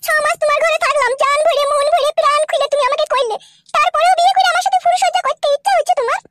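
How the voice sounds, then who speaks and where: A woman's voice: extremely high pitch, rapid-fire, background noise. A woman playing a coy, clingy, sentimental new bride in a comedy cartoon, plaintive, with a West Bengal Bengali accent. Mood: coy, affectionate.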